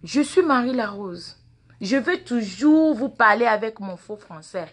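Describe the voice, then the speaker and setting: A woman's voice: on the high side, animated, unhurried. A woman in her forties, a native Mina speaker with a Mina accent, lecturing her followers in an impassioned, repetitive way on a phone held at arm's length in a large indoor waiting room. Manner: impassioned, lecturing, repeats words and questions. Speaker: social media personality. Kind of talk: live phone video monologue.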